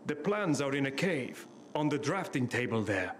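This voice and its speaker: A man voicing a middle-aged eccentric scientist in a video game, measured and professorial, a little evasive. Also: mid-range voice, sing-song, natural voice. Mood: hesitant. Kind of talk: video game cutscene acting. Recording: clearly noisy game ambience behind.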